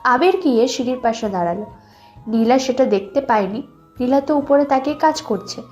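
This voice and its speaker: A woman narrates a scripted romantic story. Her voice is high, and soft music plays underneath as she tells it. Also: animated, fairly quick.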